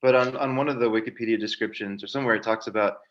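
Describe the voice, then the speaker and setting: A man co-hosting a film podcast, chatting casually in a measured, slightly hesitant way. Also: fairly low pitch, steady tone, dull audio. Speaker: podcast co-host.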